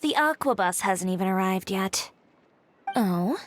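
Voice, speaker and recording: A woman's voice: mid-range voice, animated, a little slow, no room sound, close to an everyday voice. A woman playing a self-assured, blunt young woman in a video game, dry, composed and direct. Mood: cool, dry. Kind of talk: video game character voice acting.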